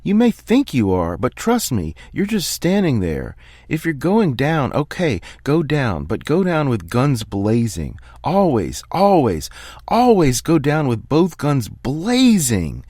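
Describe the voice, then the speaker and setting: A man voicing a furious male tennis coach who shouts, scolds and pleads with his player. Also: mid-range voice, huge pitch swings, slow pace, no room sound, clean studio sound, pitched above the performer's own voice, exaggerated voice. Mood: fierce.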